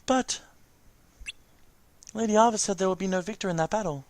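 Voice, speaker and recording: A man's voice: high-pitched, brisk, a little mellow, no echo, pitched above the performer's own voice, natural voice. A man voicing worried young fantasy game heroes, reading their lines aloud with an earnest, anxious manner. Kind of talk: amateur read-aloud of game dialogue.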